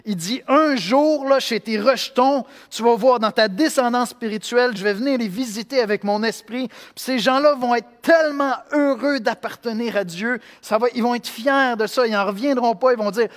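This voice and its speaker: A man from Quebec with a Québécois accent, preaching a sermon in an animated way, acting out voices and swinging between loud and hushed. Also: very high-pitched, moderate pace, few pauses, big-room sound. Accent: Québécois French. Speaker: pastor.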